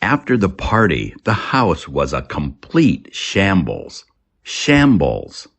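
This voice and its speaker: A man with a low, husky voice reads vocabulary lesson material as a teacher. His delivery is slow, with deliberately separated phrases and animated swings in pitch. The recording is a little dull. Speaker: English teacher. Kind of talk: scripted vocabulary lesson narration.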